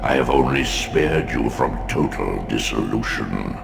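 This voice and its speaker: Game deity, male: ancient, commanding, portentous, very slow and gravel-throated, echoing over music. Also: animated, heavily acted. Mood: solemn, ominous. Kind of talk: dramatic video game voice acting. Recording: booming cavernous reverb, music behind.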